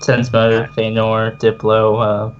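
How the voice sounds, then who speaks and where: A man's voice: on the low side, completely flat delivery, takes it slowly. A man casually narrating a tabletop game as game master. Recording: muffled, noisy home recording.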